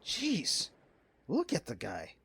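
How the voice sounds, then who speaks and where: Excitable, animated wisecracking yachtsman. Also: on the high side, takes it slowly, vocal fry, husky, full character voice. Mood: uneasy, wry. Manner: wry, excitable, colloquial. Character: excitable, wisecracking man, card-playing yachtsman friend. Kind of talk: audio drama acting.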